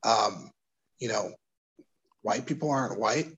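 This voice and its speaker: A man from Brooklyn with a New York accent, speaking thoughtfully as an interview guest, pausing and restarting as he works through his ideas. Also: lowish voice, expressive, slight background noise, rough recording. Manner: thoughtful, hesitant, with restarts and soft laughs. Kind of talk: casual interview conversation.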